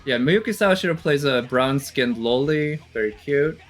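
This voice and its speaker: A man's voice: medium pitch, lively intonation, normal speed, soft background music. A man giving casual, off-the-cuff commentary as a streamer, analytical and hesitant as he thinks aloud. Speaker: reaction streamer.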